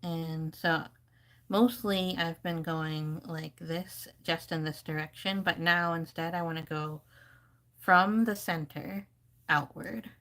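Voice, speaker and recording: A woman's voice: on the low side, fairly even intonation, slow pace, a bit bright. A woman giving casual, rambling live commentary as she paints, thinking aloud like an informal art teacher.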